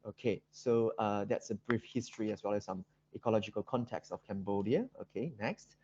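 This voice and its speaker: A man with a Malaysian accent giving an academic seminar presentation, speaking haltingly with very frequent ums and repeated words. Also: on the low side, darkish tone, slightly rough. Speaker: guest lecturer. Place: online video call seminar. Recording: dull, roomy video-call audio.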